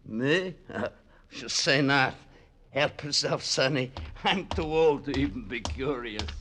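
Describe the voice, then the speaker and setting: A man's voice: mid-range voice, animated, slow, sharp tone. Rambling, obliging old man, noisy recording. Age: older. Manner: rambling, folksy, hesitant. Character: talkative, obliging old man who likes a nip. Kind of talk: 1940s radio character acting.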